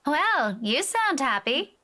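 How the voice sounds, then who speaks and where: A guarded but caring young schoolteacher from a visual novel, played by a woman reading along. Her voice is fairly high and bright, the voice of a woman in her late twenties. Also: very animated, takes it slowly, full character voice. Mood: concerned.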